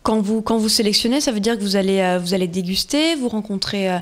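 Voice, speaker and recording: Animatrice de plateau télé au ton vif, une femme à la voix moyenne. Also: female voice, medium pitch, fast talker. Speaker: TV show host. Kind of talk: studio interview. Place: TV studio. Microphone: desk mic.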